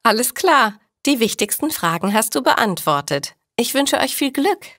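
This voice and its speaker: Woman playing a kindly young midwife, storybook, earnest, clear words, lively melody. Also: a little high, moderate pace, no echo, clean studio sound, pitched above the performer's own voice. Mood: hurried, kind. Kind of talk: audio storybook dialogue for learners.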